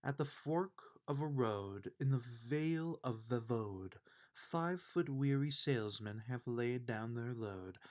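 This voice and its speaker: Bedtime storybook reader: male, medium pitch, leisurely, expressive delivery. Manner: expressive, unhurried read-aloud delivery. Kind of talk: bedtime children's story read aloud.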